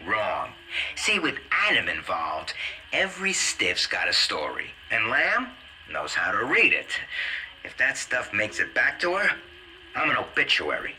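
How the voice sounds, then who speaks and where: An actor playing a shifty, cowardly schemer in a video game, with a slippery, wheedling manner. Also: male voice, lowish voice, huge pitch swings, unhurried, pushed and bright, slight background noise, heavily acted, low-quality recording. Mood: sly, smug. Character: shifty, scheming, cowardly male security-booth weasel.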